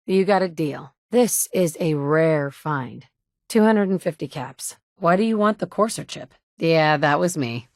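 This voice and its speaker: A blunt, no-nonsense wasteland survivor woman for a video game. Her voice sits low for a woman and is recorded dry and close. She talks in short, matter-of-fact lines. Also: darkish tone, no echo. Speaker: player character in a video game.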